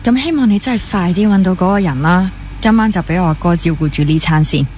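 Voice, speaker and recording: A woman's voice: lowish voice, fast talker, loud music behind the voice, lightly acted. A young woman with a Hong Kong Cantonese accent playing a weary, driven trainee doctor and teasing younger sister in a naturalistic radio drama. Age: young adult.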